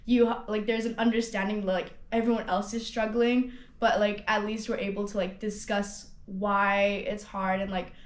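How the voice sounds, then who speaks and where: A woman's voice: medium pitch, little pitch movement, moderate pace. A nineteen-year-old woman from Hong Kong, a student ambassador, chatting casually about her studies with many "like"s and restarts. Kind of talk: casual testimonial interview. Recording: roomy echo.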